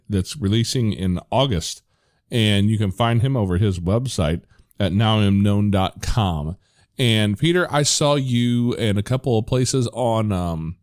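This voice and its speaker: Laid-back male podcast host, lowish voice, dry close-miked sound, loose and meandering. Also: dry recording. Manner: relaxed, rambling, self-correcting. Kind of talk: casual chat.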